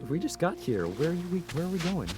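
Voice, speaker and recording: An actor playing an earnest, loyal young grandson on the run in a radio drama. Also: male voice, on the high side, sing-song, quick pace, music in the background. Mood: wistful.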